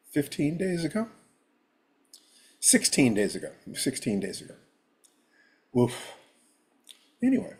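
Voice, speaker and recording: A man hosting a live stream from home, talking casually in a rambling way, with a rough, hoarse voice and frequent throat clearing. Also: a little high, bright, forceful tone.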